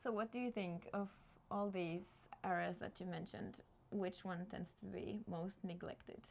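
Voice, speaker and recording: A woman from Finland hosting a casual interview, chatty and animated, often laughing as she talks. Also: mid-range voice, measured pace, creaky, slight background noise. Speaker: interview host.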